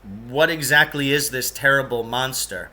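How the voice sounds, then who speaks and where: A man's voice: medium pitch, normal speed, sharp tone. A man voicing a skeptical, questioning boy from a storybook in a blunt manner. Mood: puzzled. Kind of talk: storybook character voice.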